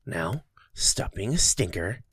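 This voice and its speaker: A doting young boyfriend, played by a man, murmurs in an intimate ASMR roleplay. His voice is low and husky, close and dry. He is soft and affectionate, with a playful teasing streak. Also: animated, slow pace, no room sound, heavily acted. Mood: teasing, fond.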